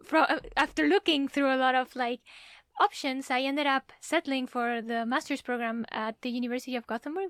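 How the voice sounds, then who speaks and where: A woman's voice: high-pitched, a bit bright, no room sound, studio quality. A young woman speaking casually and a bit hesitantly as she introduces herself on a student podcast.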